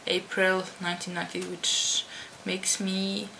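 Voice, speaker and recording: A Dutch-born teenage girl chatting to her webcam at home, answering tag questions slowly with many restarts. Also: mid-range voice, monotone, vocal fry, pushed and bright, poor audio. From Netherlands. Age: teens. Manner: slow and halting, with many restarts. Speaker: vlogger. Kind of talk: casual talk to camera answering a tag. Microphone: computer webcam. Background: faint hum of computer fan.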